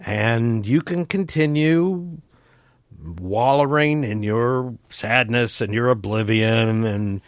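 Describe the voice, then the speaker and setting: A man's voice: mid-range voice, clear, resonant tone, no room sound, clean studio sound, voice pushed down, natural voice. A man playing an optimistic, folksy cleric in a tabletop game, speaking earnestly and plainly. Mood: disappointed, firm. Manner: earnest, plainspoken, slow deliberate phrasing.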